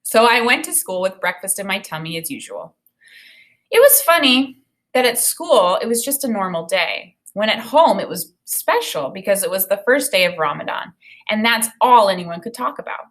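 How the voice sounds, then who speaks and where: Woman teacher in her 30s reading a kids book out loud, expressive, explains as she goes, mid pitch. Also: moderate pace. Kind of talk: read-aloud of a children's novel with think-aloud commentary. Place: small indoor room with bookshelf and whiteboard.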